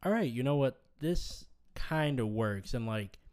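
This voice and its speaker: A man's voice: mid-range voice, expressive, unhurried, a little mellow. A man co-hosting a podcast, chatting casually about a movie in a laid-back way, mostly with short replies of agreement. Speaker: podcast co-host. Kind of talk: casual movie review chat.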